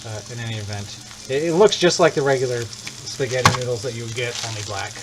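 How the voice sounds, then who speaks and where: Casual male stream host, mid-pitched, chatty and rambling, with frying and sizzling clearly heard behind him. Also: fast, heavy background noise. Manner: chatty, rambling, joking asides. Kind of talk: casual live cooking demo. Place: home kitchen.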